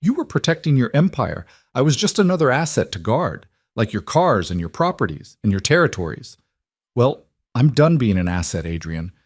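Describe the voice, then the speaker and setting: A man's voice: mid-range voice, very animated, normal speed, slightly rough, no room sound, voice pushed up. A defiant, hurt young wife performed by a male audiobook narrator. She is guarded and stubborn, pressing her accusations with feeling. The recording is very dry. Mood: hurt anger. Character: defiant, hurt pregnant wife of a mafia boss. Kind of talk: dramatic audiobook dialogue.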